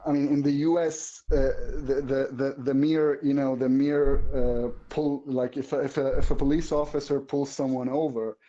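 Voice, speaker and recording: Halting man, mellow tone. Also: mid-range voice, level tone, highs cut off. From Syria. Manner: halting, searching for words. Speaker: guest viewer. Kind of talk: casual political discussion.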